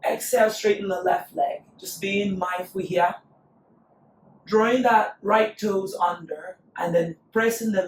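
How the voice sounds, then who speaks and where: A woman teaching a yoga class, calmly cueing breath and poses, her voice still recovering after being lost. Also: mid-range voice, slight background noise. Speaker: yoga instructor. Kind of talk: guided yoga class instruction. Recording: echoey room, voice from a distance.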